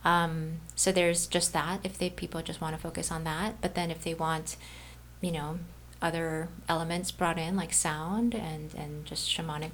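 A woman from Brazil, a healer, talking as a guest in a relaxed interview, soft-spoken and laid-back, with lots of fillers. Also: sits low, normal speed, a little hiss or hum. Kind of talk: casual interview chat.

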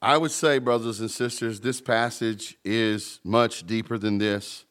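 A man's voice: lowish voice, fairly even intonation, unhurried, fairly bright tone. A man preaching a sermon with emphasis, calling out to the congregation and joking about his own mumbling. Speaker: pastor.

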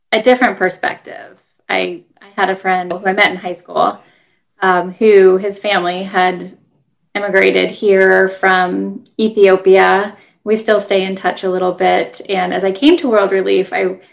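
A woman's voice: mid-range voice, vocal fry. An American woman interviewing a guest in a conversational, unscripted way.

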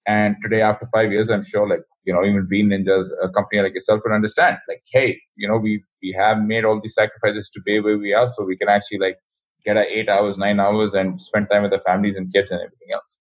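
Fast-talking man with a husky voice. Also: fairly low pitch, flat delivery, highs cut off. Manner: fast, rambling, frequent restarts. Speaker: guest, ecommerce founder. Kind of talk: casual interview talk.

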